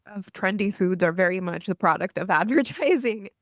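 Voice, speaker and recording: A woman's voice: mid-range voice, animated, moderate pace, no echo, clean studio sound. A young woman from El Salvador hosting a podcast, upbeat and chatty, saying "like" often. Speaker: podcast host. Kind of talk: casual interview chat.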